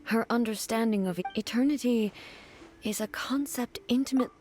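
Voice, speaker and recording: An actress playing a proud, reserved warrior ruler in a video game, speaking in a terse, guarded and earnest way. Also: female voice, on the high side, moderate pace, darkish tone, lightly acted. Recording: music behind.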